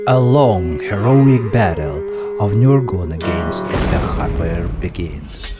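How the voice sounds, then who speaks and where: A man narrating a folk epic as scripted storytelling, in a measured, solemn manner. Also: deep voice, animated. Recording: voice-over with loud music behind. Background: loud music.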